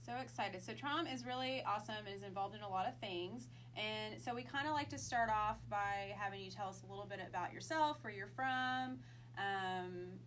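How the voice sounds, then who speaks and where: A woman's voice: medium pitch, fairly bright tone, a little hiss or hum. A woman co-hosting a podcast, bubbly and chatty with lots of likes and ums. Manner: bubbly, chatty, filler-heavy. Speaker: podcast co-host. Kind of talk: casual interview chat.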